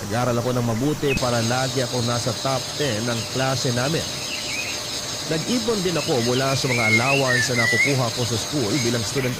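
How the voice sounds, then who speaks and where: A man's voice: medium pitch, close to an everyday voice. A man giving scripted dramatic narration of a personal letter story.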